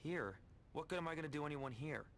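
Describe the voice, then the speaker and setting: An actor playing a brooding, remorseful vampire hero in a video game, serious and earnest with a gravelly voice. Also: male voice, on the high side, expressive, quick pace, a little hiss or hum. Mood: defensive, brooding. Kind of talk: video game drama acting. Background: loud music.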